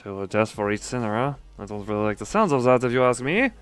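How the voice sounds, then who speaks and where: A man's voice: mid-range voice, sing-song, fast talker, fairly bright tone, clear, resonant tone, faint music behind. A man livestreaming a game, chatting casually and jokingly with his chat in a rambling way.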